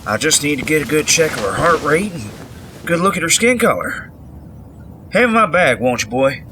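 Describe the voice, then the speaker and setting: An actor playing a kindly older country doctor with a folksy manner in an audio drama. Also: male voice, on the high side, sing-song, moderate pace, noisy background. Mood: soothing. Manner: folksy, drawling endearments.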